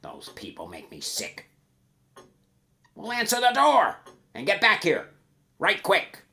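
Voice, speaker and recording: A man's voice: high-pitched, huge pitch swings, takes it slowly, creaky, bright, forceful tone, voice pushed up, heavily acted. Man acting a snarling, grouchy old miser. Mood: annoyed, bossy. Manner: curt, snarling, dismissive. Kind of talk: rhyming verse storytelling.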